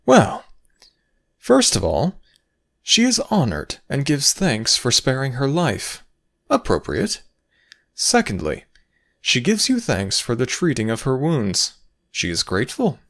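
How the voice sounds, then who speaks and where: A man puts on a high, lofty voice for a male Egyptian god in a dramatic roleplay. The pitch swoops freely. His delivery is formal and theatrical, with the patient air of a superior explaining matters to a lesser one. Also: huge pitch swings, takes it slowly, vocal fry, long pauses, fairly bright tone, exaggerated voice. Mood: explanatory. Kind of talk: dramatic ASMR audio roleplay.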